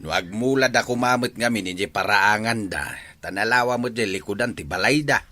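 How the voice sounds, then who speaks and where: A man's voice: fairly low pitch, brisk, pushed and bright. A man playing a grumbling older village husband in a comedy drama, disapproving.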